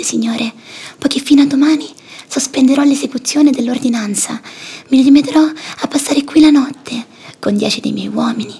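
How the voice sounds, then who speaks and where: Husky, high-pitched smug bailiff. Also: male voice, darkish tone, full character voice. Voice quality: husky, breathy. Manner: mock-polite, insinuating. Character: smug, unctuous bailiff serving an eviction order. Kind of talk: radio drama acting.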